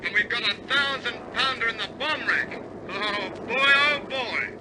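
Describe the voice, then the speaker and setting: Bomber crewman: strikingly high voice, loyal and anxious, war radio drama over roaring engines. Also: a little slow. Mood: urgent. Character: loyal male airman on a crippled bomber, dogged, anxious. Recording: loud aircraft engine drone. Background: aircraft engine noise.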